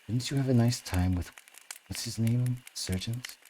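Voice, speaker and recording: A man's voice: medium pitch, a little slow, warm tone, slight background noise, natural voice, poor audio. A man voicing a boastful, teasing young Russian man in acted audiobook dialogue, theatrical and cocky.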